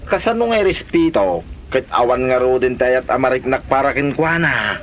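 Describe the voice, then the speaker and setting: An actor as an animated Ilocano suitor. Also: male voice, mid-range voice, moderate pace, a little hiss or hum, natural voice. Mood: confiding. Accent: Filipino, Ilocano. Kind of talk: Ilocano radio drama acting.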